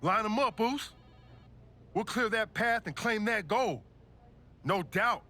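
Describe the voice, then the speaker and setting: A high, forceful, fired-up wrestler. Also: male voice, lively intonation, takes it slowly, heavily acted. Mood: confident. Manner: forceful, determined, slangy. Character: fired-up, confident champion wrestler out to reclaim titles. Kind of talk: video game cutscene acting.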